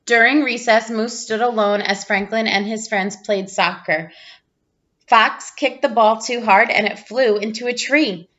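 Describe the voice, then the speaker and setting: A woman reading a children's storybook aloud in an expressive narrating style. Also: a little high, measured pace, muffled recording. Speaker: storybook reader, teacher.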